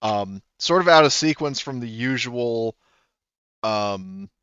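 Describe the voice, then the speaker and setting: A man running a tabletop game, thinking out loud with pauses and restarts as he lays out what happens next. His voice is smooth and resonant. The sound is dry and a little dull, as from a home mic. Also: mid-range voice, animated, no room sound. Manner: hesitant, many ums and restarts. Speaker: game master. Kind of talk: explaining rules and story in a tabletop game.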